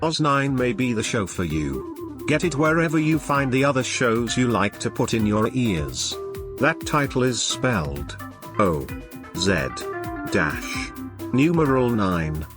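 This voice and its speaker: A man narrating a scripted comedic podcast trailer with a wry, deadpan wit. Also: mid-range voice, unhurried, over music. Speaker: promo announcer.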